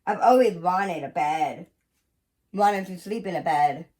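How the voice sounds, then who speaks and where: A woman's voice: on the low side, a little slow, darkish tone, pitched below the performer's own voice, lightly acted. A woman voicing a wishful little toy bear in a storybook, with wondering, earnest delivery.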